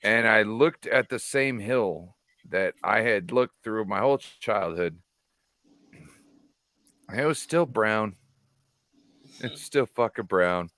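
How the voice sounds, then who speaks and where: A man's voice: fairly low pitch, takes it slowly, long pauses, fairly bright tone, dry recording. A man from California chatting casually as a show host, rambling and swearing freely. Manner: loose, profane and rambling. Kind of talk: casual chat.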